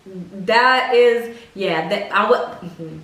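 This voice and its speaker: A young woman casually telling personal stories to camera in a blunt, expressive, slangy way with plenty of swearing. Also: medium pitch, very slow. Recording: echoey room, mic at a distance.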